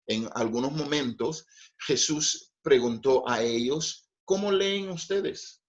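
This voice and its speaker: A middle-aged man, a native English speaker, preaching a Bible study in a careful, teaching manner with frequent checks of "ok?". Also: medium pitch. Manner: slow, deliberate teaching with frequent "ok?" and "pues".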